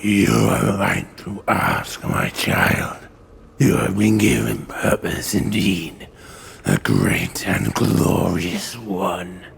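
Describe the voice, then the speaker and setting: A grandiose, paternal dark spirit for a fantasy game. The voice is very deep and extremely gravelly, coaxing in a sinister way. Faint music sits behind it. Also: male voice, big pitch swings, very slow, heavily acted. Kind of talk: video game cutscene acting.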